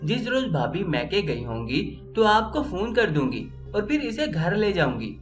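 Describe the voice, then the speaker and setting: Woman performing a level-headed young sister in story narration: cautious, questioning, varied pitch, light music behind. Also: sing-song, measured pace. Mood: cautious, placating. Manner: questioning, reasoning.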